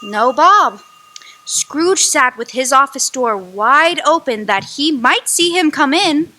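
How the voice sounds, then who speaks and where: A woman narrating a radio drama with a slow, lively storytelling manner. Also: high-pitched, noisy background.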